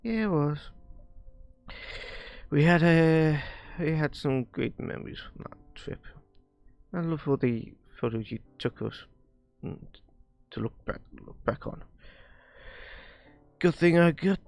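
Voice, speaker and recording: A man reading visual novel dialogue aloud on a live stream, haltingly, often stumbling over words and repeating phrases. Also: a little high, vocal fry, a little mellow.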